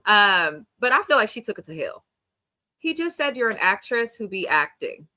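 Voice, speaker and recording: Young woman, stuffy nasal allergy voice, bright tone, chatty slangy reviewer. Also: mid-range voice, big pitch swings, moderate pace. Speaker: reality TV reviewer. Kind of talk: casual recap and reaction.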